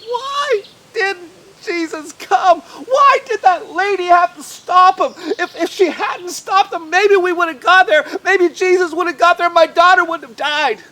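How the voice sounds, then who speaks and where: Man acting an anguished, high-pitched grieving father. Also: a little slow, pushed and bright, full character voice. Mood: anguished reproach. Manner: repetitive, wailing pleading. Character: anguished grieving father pleading, heartbroken and despairing. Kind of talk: live Bible storytelling.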